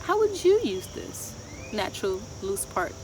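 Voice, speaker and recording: A woman in her thirties presenting to young children outdoors, asking them questions in an expressive sing-song way. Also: mid-range voice, a little slow. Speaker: children's story time host and garden educator. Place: outdoors in a wooded garden. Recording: clear outdoor background noise, insects chirring. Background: insects and outdoor ambience.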